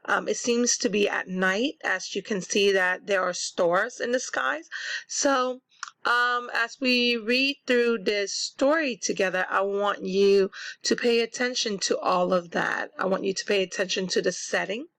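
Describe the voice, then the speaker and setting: A woman teacher reading a storybook to children and explaining it simply, in a deliberate, instructive way. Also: medium pitch, fairly bright tone, dry recording.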